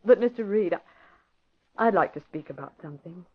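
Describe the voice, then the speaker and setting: A woman's voice: lowish voice, lively intonation, moderate pace. An actress playing a wry, wistful woman office secretary with ambitions, knowing in manner, in 1940s radio drama style. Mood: pleading, hopeful. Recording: muffled vintage radio broadcast.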